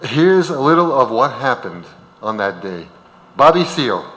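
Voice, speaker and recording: Guy narrating a script on stage, bright sharp voice, big echoing auditorium. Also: mid-range voice, sing-song, moderate pace. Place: large auditorium. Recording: reverberant auditorium.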